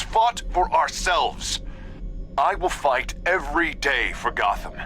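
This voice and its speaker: A newly elected game mayor delivering a defiant speech. His voice is fairly high and very bright, with slow, emphatic phrasing that rallies citizens. Music plays clearly behind him. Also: rough recording. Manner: emphatic, deliberate oratory. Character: fiery newly elected male mayor rallying his city. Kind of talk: rousing political speech.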